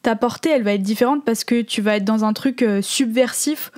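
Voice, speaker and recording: A woman's voice: fairly high pitch, a little fast, darkish tone, dry recording, clean studio sound. A woman podcast host talking through her thoughts in a casual, hesitant way. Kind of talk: casual chat, scripted show intro.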